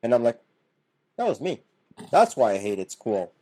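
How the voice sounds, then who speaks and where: A man's voice: medium pitch, sing-song, moderate pace, mellow. A middle-aged man from Canada, a podcast guest who explains his points earnestly and often restarts his words. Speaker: podcast guest, business founder. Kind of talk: casual podcast conversation.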